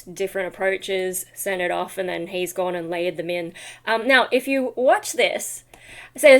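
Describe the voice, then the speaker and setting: Aussie woman just rambling on a vlog, kinda tired, trails off into asides, normal pitched voice. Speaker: vlogger and metal vocalist. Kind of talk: rambling casual vlog chat.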